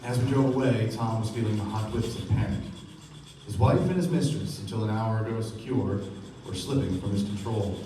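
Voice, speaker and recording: A man playing a reflective storyteller, giving measured literary narration in a radio play. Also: fairly low pitch, lightly acted. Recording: echoey stage from far off, clear noise.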